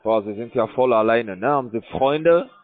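A man working a scam call center pitch, pushing in broken full of fillers. His voice is mid-pitched and comes through a narrow, tinny phone line. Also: normal speed, soft background music. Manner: broken German, filler-heavy, pushy salesman.

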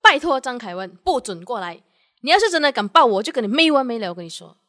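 A woman playing a high-voiced feisty young graduate. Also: sing-song, quick pace, a bit bright, no room sound, clean studio sound. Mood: annoyed. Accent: Malaysian. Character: excitable, feisty young female university graduate. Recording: music and crowd behind voice.

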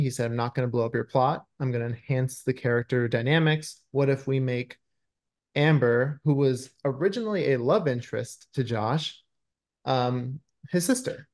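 A man's voice: medium pitch, darkish tone. A man in his thirties, a screenwriter answering interview questions conversationally over a video call from a room at home.

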